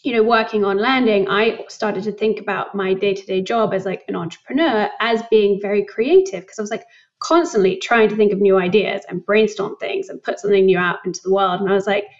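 A young woman from England, a company founder talking in a casual interview, thoughtful and quick to laugh. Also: medium pitch, muffled recording. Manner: reflective, laughs often, many likes. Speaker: guest, startup founder.

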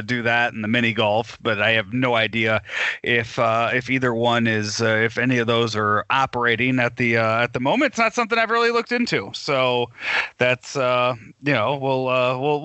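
Casting: host voice male, bright and a touch high, halting casual delivery, dry close sound. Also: no echo. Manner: halting, many fillers and restarts. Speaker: podcast host. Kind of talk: casual sports talk.

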